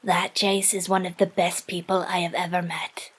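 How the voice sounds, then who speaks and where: Husky, breathy woman acting an earnest, consoling woman. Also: lowish voice, flat delivery, a bit bright. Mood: tender, earnest. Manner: tender, emphatic, deliberate reassurance. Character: earnest, fiercely supportive woman consoling a wounded friend. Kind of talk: audio drama acting.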